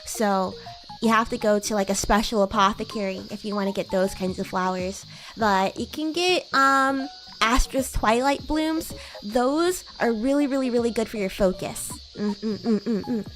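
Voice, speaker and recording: A woman's voice: on the high side, moderate pace, a bit bright, soft background music, lightly acted. A woman voicing a bratty, sweet young demon girl in casual roleplay chat, with hesitant, girlish delivery. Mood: chatty, explaining. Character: bratty, sweet young female demon classmate from another world. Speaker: VTuber streamer.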